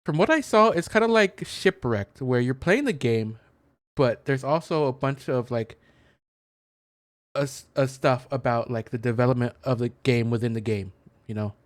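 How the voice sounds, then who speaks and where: A man's voice: medium pitch, normal speed, no room sound. A man doing casual let's play commentary, explaining things in a hesitant, rambling way into a close mic. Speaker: gaming content creator.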